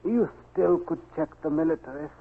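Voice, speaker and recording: A man playing an earnest, worried Japanese prince in a 1940s radio drama, with a creaky, husky voice. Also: a little high, moderate pace. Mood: grave, urgent. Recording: scratchy narrow-band 1940s radio recording.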